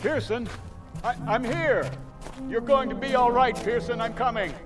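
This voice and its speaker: An actor playing a stern military captain who questions a subordinate in clipped, hard commands, in a radio drama. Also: male voice, very high voice, unhurried. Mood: desperate calling. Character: stern military captain searching for lost crewman. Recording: music score behind.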